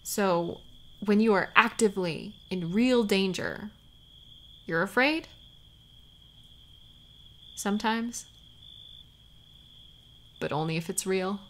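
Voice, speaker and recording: A woman acting as a tender, worried lover of a warrior in an intimate audio roleplay, speaking softly and haltingly, sometimes close to a whisper. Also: mid-range voice, close to an everyday voice. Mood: pensive, questioning. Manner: soft, halting, sighing, sometimes near whisper.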